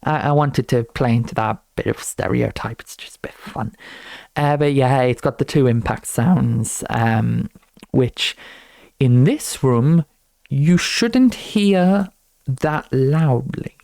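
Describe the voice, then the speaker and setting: Young man, student developer type, relaxed and fry-heavy, talking viewers casually through a project, unscripted. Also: mid-range voice, slow pace, dry recording. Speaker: student game developer presenting own project. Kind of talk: casual unscripted tutorial walkthrough.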